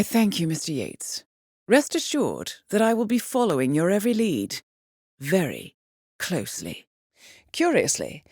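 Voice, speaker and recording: A woman's voice: on the low side, no room sound. Cool spy handler lady for a video game, composed n formal, dry wit, really varied intonation, super dry studio sound. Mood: polite, social. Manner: composed, formal, measured, dryly understated. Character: cool, poised female intelligence handler guiding an assassin. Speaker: mission handler in a stealth assassin video game. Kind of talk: scripted video game mission briefing.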